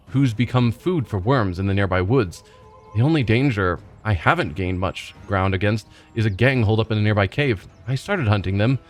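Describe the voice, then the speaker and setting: A man's voice: fairly low pitch, lightly acted. A man voicing a bitter, wounded male werewolf loner, reading his game lines in a dry, weary way over faint game music.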